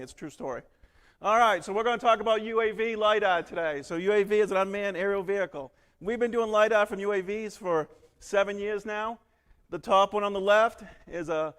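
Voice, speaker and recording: A man from northern Alabama giving a quick, joking technical talk at a conference. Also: high voice, a bit bright. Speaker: conference presenter. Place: large conference hall. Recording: long hall reverb.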